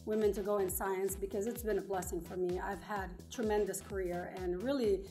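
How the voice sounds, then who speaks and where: A woman's voice: medium pitch, fairly even intonation, a little fast, dark tone, soft background music. A middle-aged woman from Lebanon, a native Arabic and French speaker, telling personal stories in conversational English as an interview guest. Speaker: interview guest, engineer turned small business owner.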